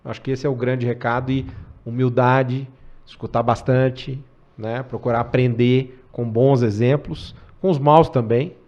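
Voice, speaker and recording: A man's voice: mid-range voice, unhurried, dull audio. A man in his forties, a podcast guest talking casually, often adding cara and né. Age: 40s. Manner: relaxed, says cara and né often. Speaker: podcast guest, tech executive.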